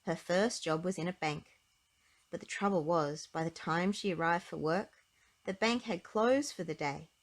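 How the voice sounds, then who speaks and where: A woman narrating a children's storybook aloud in an expressive, emphatic way with clear phrasing. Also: lowish voice, normal speed.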